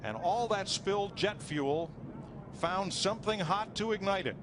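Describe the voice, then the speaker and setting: A man's voice: sits high, unhurried. A man calling a live motor race as lead commentator. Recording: loud engine noise behind. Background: roaring race cars at a speedway.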